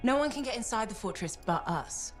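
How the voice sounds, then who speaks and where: An actress playing an assured, purposeful expert in arcane matters in a video game, confident and measured. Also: female voice, on the high side, animated, soft background music, natural voice. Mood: resolute. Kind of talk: video game cutscene acting.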